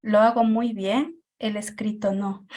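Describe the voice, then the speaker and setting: A woman whose first language is Quichua, a teacher speaking about her own experience in a group discussion, hesitant with many pauses and filler sounds. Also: mid-range voice, steady tone, mellow. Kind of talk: personal account in a group discussion.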